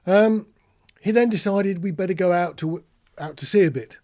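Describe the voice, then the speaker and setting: A man's voice: sits high, moderate pace, a little mellow. A British man giving an informal, practical tutorial, explaining things plainly with anecdotes as an instructor. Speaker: marine radio instructor.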